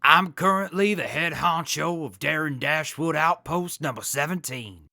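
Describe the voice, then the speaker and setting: An actor in an audio drama playing an earnest hepcat military captain who talks in jive beatnik slang. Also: male voice, on the high side, unhurried, creaky, pushed and bright, no echo, clean studio sound, full character voice. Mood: proud, sincere.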